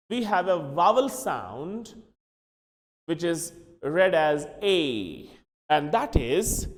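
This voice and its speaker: Brief male teacher, high lively voice, reverberant room, drills words slowly. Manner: switches between English and Hindi, repeats words slowly for drilling. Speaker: English teacher. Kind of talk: classroom pronunciation lesson.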